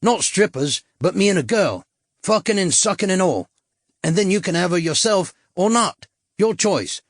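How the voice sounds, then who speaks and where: Male reader doing a brash tout, higher voice, oily salesman patter, dry sound. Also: expressive, normal speed, no echo. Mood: wheedling. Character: sleazy male London street tout, pushy.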